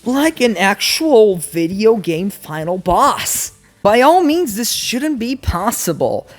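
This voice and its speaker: A woman giving casual, informal video essay commentary on games, speaking in an animated, emphatic way. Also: mid-range voice, slow pace, faint music behind.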